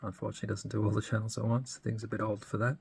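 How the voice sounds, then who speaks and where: Low gravelly man, casual and understated. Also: level tone, racing, a little hiss or hum. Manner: hesitant, thinking aloud, understated. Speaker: radio hobbyist presenting a modification demo. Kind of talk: casual unscripted hands-on demonstration.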